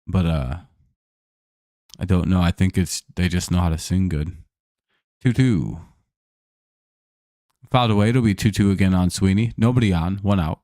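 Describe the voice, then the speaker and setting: A man's voice: low-pitched, normal speed, long pauses, dark tone, dry recording, studio quality. A man hosting a live stream, calling baseball plays casually and joking with viewers, his voice a bit worn from talking all day. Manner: laid-back, jokey, chats with viewers between plays. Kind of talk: casual play-by-play and chat.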